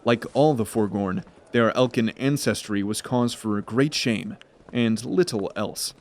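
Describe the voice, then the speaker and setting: A man narrating a scripted fantasy story in a measured, dramatic storytelling manner. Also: on the low side.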